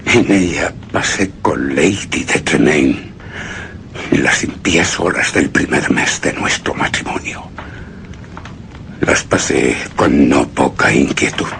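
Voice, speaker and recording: A man's voice: very deep voice, animated, takes it slowly, creaky, fairly bright tone, a little hiss or hum, heavily acted. A man playing a brooding, obsessive widower who narrates his haunted past in solemn, theatrical radio horror style. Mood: bitter brooding. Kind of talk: dramatic literary narration, radio horror drama.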